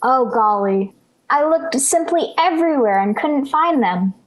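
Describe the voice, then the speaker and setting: A woman's voice: sits high, lively intonation, unhurried, poor audio. A woman playing a dramatic, cheeky little schoolgirl daughter in a 1940s radio sitcom. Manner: whiny, overdramatic.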